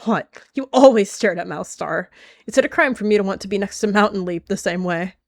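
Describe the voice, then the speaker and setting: A woman's voice: medium pitch, fairly quick, no room sound, studio quality, natural voice. A woman voicing a pushy, mocking young she-cat warrior who teases and needles. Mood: teasing. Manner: teasing, sarcastic.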